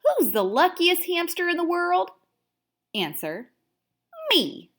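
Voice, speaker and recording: A woman voicing a cocky, excitable male pet hamster telling his diary in a children's storybook, with emphatic, theatrical delivery. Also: very high-pitched, slow pace, voice pushed up, full character voice. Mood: smug, delighted. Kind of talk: first-person children's storybook read-aloud.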